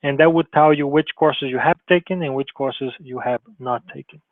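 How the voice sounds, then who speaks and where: A man's voice: mid-range voice, steady tone, moderate pace, no room sound. A male college advisor giving an informal screen-share tutorial, talking loosely with restarts and frequent "okay?" check-ins. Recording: tinny phone-like narrow sound, dry.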